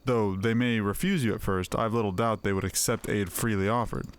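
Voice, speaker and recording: A man voicing a courteous advisor in a fantasy game, speaking in a formal, measured manner. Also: lowish voice, steady tone, lightly acted. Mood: measured advice. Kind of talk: acted fantasy game dialogue. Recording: music behind the voice.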